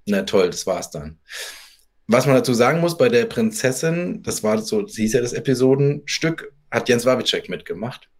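Male interview guest, author type, medium pitch, conversational anecdote-telling. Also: lively intonation, moderate pace.

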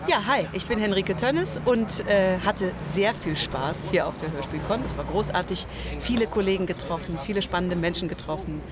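A woman's voice: medium pitch, expressive, normal speed. A woman chatting casually as an interviewee. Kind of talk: casual chat. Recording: crowd chatter at busy event.

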